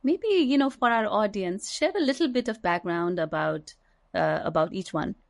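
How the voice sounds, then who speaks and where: A woman's voice: mid-range voice, animated, normal speed, gravelly, dry recording, clean studio sound. A woman in her forties hosting a podcast over a video call from a small room at home, chatty and full of "you know" fillers, mixing English and Nepali. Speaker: podcast host.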